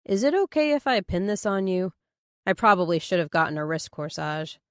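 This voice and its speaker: A woman's voice: lowish voice, big pitch swings, a little fast, no room sound, studio quality. A woman voicing a bashful, awkward male police detective in hesitant, sheepish audiobook dialogue. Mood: awkward, embarrassed.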